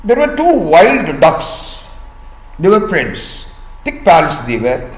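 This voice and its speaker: A man with an Indian accent telling a folk tale to listeners in a deliberate, teacherly way with pauses. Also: on the high side, huge pitch swings. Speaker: storyteller and host. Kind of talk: storytelling of a folk tale for listeners learning vocabulary. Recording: echoey room.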